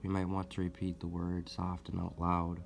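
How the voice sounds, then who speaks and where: A man leading a guided meditation as host, speaking gently and deliberately with long pauses. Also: low voice, completely flat delivery, vocal fry, slight background noise. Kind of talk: guided meditation, soothing instruction.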